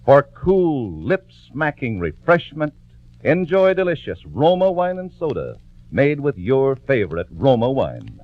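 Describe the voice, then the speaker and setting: Animated, swooping male radio announcer. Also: medium pitch, unhurried, dry recording. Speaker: radio announcer and sponsor's pitchman. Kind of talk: 1940s radio commercial announcing, scripted. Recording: muffled dry old radio recording.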